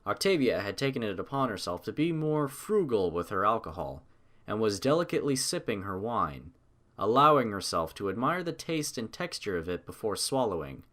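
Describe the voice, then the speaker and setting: A man's voice: lowish voice, sing-song, normal speed, fairly bright tone. A man reading scripted fiction as the narrator of an audio story.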